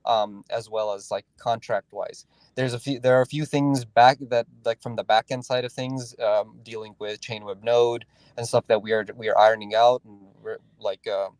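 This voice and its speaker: A man speaking as a guest, explaining his team's work in a halting way with many restarts and fillers. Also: medium pitch, darkish tone, no room sound. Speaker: guest developer. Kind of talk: casual conversational interview.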